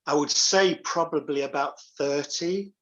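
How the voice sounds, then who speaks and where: A man's voice: fairly high pitch, unhurried. Dull-sounding recording of an enthusiastic mature man. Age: middle-aged or older. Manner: enthusiastic, emphatic repetition. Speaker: pantomime dame, interview guest. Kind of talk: casual chat.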